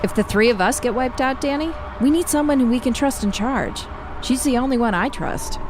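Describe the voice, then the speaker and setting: An actress playing a steady, commanding woman who leads her neighbors in a radio drama. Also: high-pitched, brisk, music in the background. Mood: firm. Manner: firm, matter-of-fact. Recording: clear street ambience noise.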